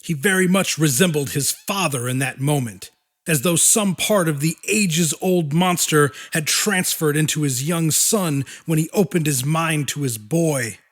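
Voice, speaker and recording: A man narrating a horror audiobook in a dramatic, deliberate style with weighty pauses. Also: on the high side.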